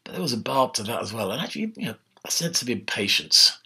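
An older man giving a casual, animated history lecture from his home study, speaking into a laptop webcam. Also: medium pitch, fast talker, husky. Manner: animated, conversational, gesturing with his hands. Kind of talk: informal online history talk. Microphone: laptop webcam mic.

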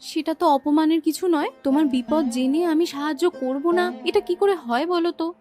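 A woman's voice: sits high, brisk, mellow, faint music behind, natural voice. A woman voicing a kind, earnest young village girl in a cartoon. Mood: gentle. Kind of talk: Bengali cartoon voice acting.

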